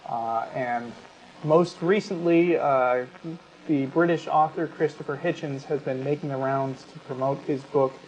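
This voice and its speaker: A man co-hosting an unscripted talk show, explaining his views in a measured, halting way. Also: mid-range voice, fairly even intonation, darkish tone. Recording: dull lo-fi sound with clear background noise.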